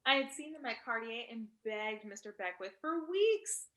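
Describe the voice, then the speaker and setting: A woman's voice: fairly high pitch, animated, normal speed, sharp tone. A woman acting a vain, glamorous, wealthy socialite who gushes about herself in a staged play reading over a video call. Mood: gushing. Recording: roomy video-call audio.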